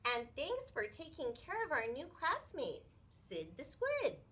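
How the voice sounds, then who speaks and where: Woman as patient, bright-voiced storybook schoolteacher. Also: sits high, wildly swooping pitch, measured pace, pitched above the performer's own voice, heavily acted. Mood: approving. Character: patient, kindly, firm female sea-creature teacher. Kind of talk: animated storybook read-aloud.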